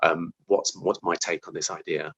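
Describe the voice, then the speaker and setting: Low-pitched man chatting as interview guest. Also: fairly even intonation, moderate pace, fairly bright tone, slightly rough, lo-fi sound. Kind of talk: casual interview chat about writing craft.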